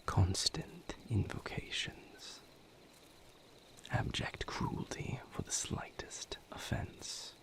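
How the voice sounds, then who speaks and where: A man voices a weary male vampire in an intimate audio roleplay, speaking low and half-whispered. His delivery is slow and solemn, with halting phrases and a gravelly creak, like a lover confiding old pain. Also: heavily acted.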